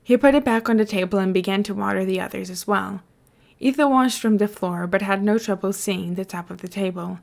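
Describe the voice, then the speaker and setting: Woman, podfic reader, story narration and casual commentary, average pitch. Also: a little fast, gravelly.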